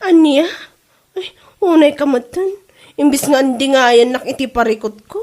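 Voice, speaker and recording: A wronged Ilocano wife, acted by a woman, speaks in a very high voice. She is anxious and whiny, full of exclamations and pleading. Her delivery is dramatic, in a comedy drama with an Ilocano accent. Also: lively intonation, moderate pace, a little mellow. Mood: distressed.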